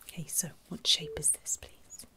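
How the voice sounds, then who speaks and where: A woman speaking in a breathy whisper, gently reassuring and encouraging as she plays a clinician in an ASMR medical roleplay. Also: normal speed. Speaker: ASMR performer playing a surgical clinician.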